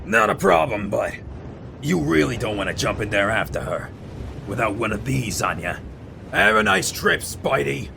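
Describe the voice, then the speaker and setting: A man's voice: mid-range voice, sing-song, normal speed, gravelly, background noise. A man playing a gloating, wisecracking supervillain henchman in a comic dub, smug and taunting.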